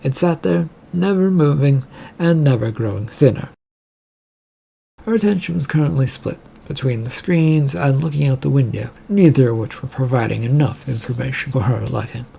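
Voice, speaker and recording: A man's voice: mid-range voice, normal speed, vocal fry, warm tone, slightly rough, low-quality recording. A man reading out scripted story narration, now and then stumbling over a word and repeating it.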